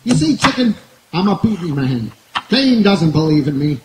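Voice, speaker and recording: A man's voice: a little high, very animated, moderate pace, darkish tone, soft background music. A man playing a boastful, slick schemer boyfriend in an old-time radio comedy performed live. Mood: self-pitying, grandiose. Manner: boastful, slick, self-pitying patter. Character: cocky small-time schemer boyfriend, big-talking hustler. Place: outdoor woodland stage. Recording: echoey live outdoor recording, faint noise.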